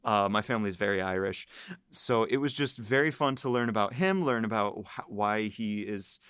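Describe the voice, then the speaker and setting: A man's voice: fairly low pitch, animated, normal speed, a bit bright, clear, resonant tone, no echo, clean studio sound. A man who writes for and acts in an audio drama, chatting about his work in an eager, upbeat way. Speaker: writer and voice actor. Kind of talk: casual podcast chat.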